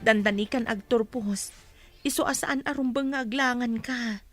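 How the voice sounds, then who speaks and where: A woman's voice: on the high side, normal speed, a bit bright, faint music behind, lightly acted. A woman playing a hurt but steadfast mother in an Ilocano radio drama, counselling her children earnestly. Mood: firm, encouraging.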